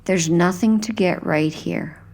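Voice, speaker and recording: Slow, low-voiced woman guiding sleep hypnosis. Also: vocal fry. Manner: gentle, hushed, unhurried, with soft trailing phrase endings. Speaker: hypnosis guide and narrator. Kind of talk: guided sleep hypnosis, soothing scripted narration.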